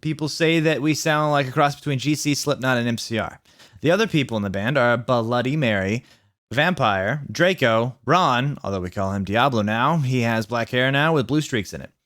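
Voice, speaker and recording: A man's voice: mid-range voice, normal speed, a bit bright, no room sound, studio quality. A man reading a story aloud in a theatrical way, stumbling over the text now and then. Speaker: video host reading fan fiction aloud. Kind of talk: expressive story reading with ad-libbed asides.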